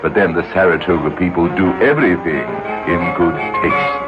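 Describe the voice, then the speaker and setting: A man's voice: low-pitched, normal speed, lo-fi sound, loud music behind the voice. A man reading a radio commercial in a wry, playful way. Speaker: commercial announcer. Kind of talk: scripted radio advertisement.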